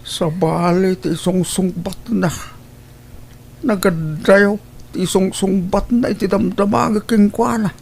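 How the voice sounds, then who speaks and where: A man playing an elderly, ailing father in an audio drama, questioning slowly and softly in an Ilocano accent. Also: high voice, fairly even intonation, creaky, heavily acted. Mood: worried, probing. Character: elderly ailing father fretting over his children.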